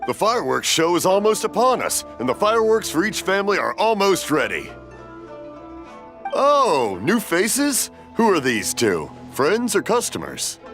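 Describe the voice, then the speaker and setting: An actor playing a cheerful, hard-of-hearing old fireworks maker who rambles into stories and mishears. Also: male voice, high-pitched, wildly swooping pitch, a little slow, exaggerated voice. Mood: welcoming. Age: older. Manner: rambling storyteller, mishears, laughs warmly. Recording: game audio with music behind.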